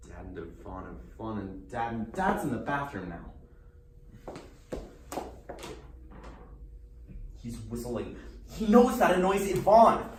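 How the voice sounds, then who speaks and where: A man performing a theatrical solo monologue as a needy, troubled teenage boy magician who delivers showman patter and swings abruptly between coy and explosive. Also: medium pitch. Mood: chatty, boyish. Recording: roomy, distant mic, faint noise.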